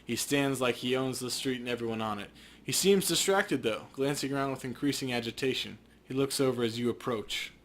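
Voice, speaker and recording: A man's voice: medium pitch, a little fast, a bit bright, slight background noise. A man reading descriptive game narration aloud in a storytelling style. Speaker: let's play co-host.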